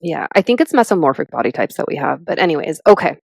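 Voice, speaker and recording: A woman in her thirties co-hosting a podcast in casual chat, animated and chatty, with lots of "like" and "literally". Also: deep voice, fast talker, vocal fry, husky, dry recording. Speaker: podcast co-host.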